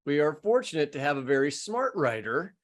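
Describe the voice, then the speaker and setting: Higher-pitched American man hosting a podcast. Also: very animated, brisk. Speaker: podcast co-host. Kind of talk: conversational hosting, part scripted introductions.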